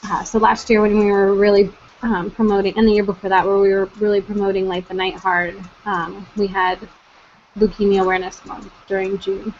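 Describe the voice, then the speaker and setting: A woman's voice: medium pitch, little pitch movement, fairly quick, darkish tone, a little hiss or hum. A woman coordinator hosting an online group meeting, talking casually with many ums and uhs. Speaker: network coordinator, meeting host. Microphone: video call. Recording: dull lo-fi video call audio.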